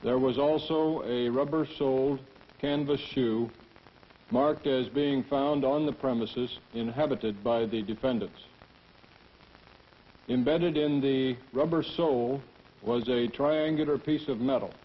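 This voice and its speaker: A man's voice: mid-range voice, measured pace. A man giving formal, precise scripted testimony as a forensic tool mark examiner. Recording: muffled 1960s film soundtrack.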